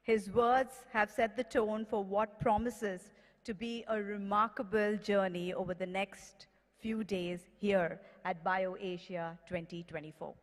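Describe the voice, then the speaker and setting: A woman's voice: mid-range voice, steady tone, unhurried. Indian female emcee in a reverberant hall. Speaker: event emcee. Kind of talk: formal scripted stage announcing. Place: large conference hall, at podium. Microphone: podium gooseneck microphone. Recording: reverberant hall public address.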